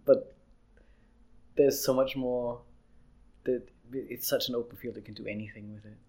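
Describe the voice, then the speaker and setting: A man's voice: mid-range voice, fairly even intonation, moderate pace, mellow. A young man, an illustrator, chatting in an interview, rambling with frequent restarts. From Austria. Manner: rambling, restarts often, laughs easily. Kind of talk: casual interview chat.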